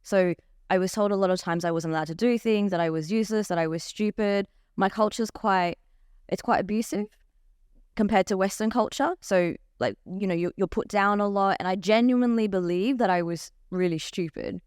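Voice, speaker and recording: A woman's voice: mid-range voice, a little fast, smooth, dry recording, clean studio sound. A young woman, a coach telling her story openly in a podcast interview. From Australia. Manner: open, animated storytelling. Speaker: life coach guest.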